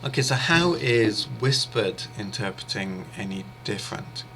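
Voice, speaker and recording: Low, bright-voiced man explaining a lesson. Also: a little hiss or hum. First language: English. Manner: measured, steady explaining with frequent pauses and fillers. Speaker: interpreting instructor. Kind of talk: explanatory online lecture.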